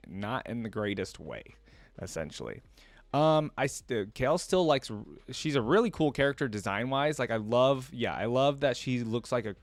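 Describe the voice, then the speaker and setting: A man's voice: mid-range voice, dry recording. Casual man in a dry, close recording. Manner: informal, thinks aloud while commenting. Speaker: reaction video host. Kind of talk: casual reaction commentary mixed with reading lore aloud.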